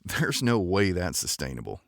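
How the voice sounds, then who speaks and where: A man's voice: deep voice, big pitch swings, normal speed, creaky, fairly bright tone, dry recording, clean studio sound, exaggerated voice. A man playing an easygoing, shrewd companion in a space adventure audio drama, conversational and amused in manner. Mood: thoughtful.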